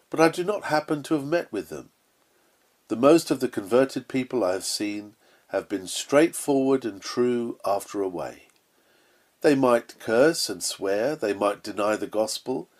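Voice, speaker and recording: A man reading a Victorian sermon aloud in an earnest, emphatic manner, with measured phrasing. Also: medium pitch, darkish tone. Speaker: sermon reader. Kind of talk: read-aloud Victorian sermon, scripted narration.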